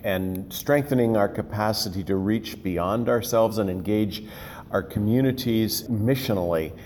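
A man, a regional pastor, giving a measured explanation on a podcast. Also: a little mellow. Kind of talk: podcast interview. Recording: long reverb with faint rumble.

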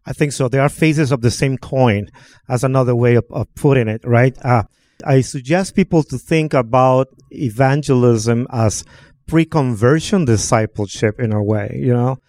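A man's voice: mid-range voice, moderate pace, a little mellow, no echo. A man from Honduras, a guest on a church podcast who talks reflectively and often checks in with "right?". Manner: reflective, peppers talk with "right?". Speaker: guest speaker. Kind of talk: conversational podcast discussion.

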